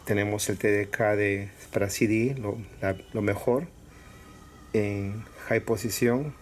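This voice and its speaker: Slow, low-key man over background noise. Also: fairly even intonation. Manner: halting, pausing between short phrases. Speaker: collector showing cassettes for sale. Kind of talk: casual product showcase. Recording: clear background noise with clicks.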